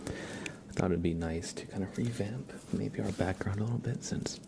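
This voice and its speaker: Soft, low-voiced man, often whispering. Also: normal speed. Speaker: ASMR livestream host. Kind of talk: whispered, chatty live ASMR. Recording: clear background ambient noise.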